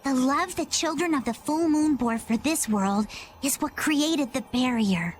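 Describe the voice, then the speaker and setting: High-pitched, plucky adventurer girl. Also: measured pace, faint music behind. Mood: reverent. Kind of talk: anime game voice acting.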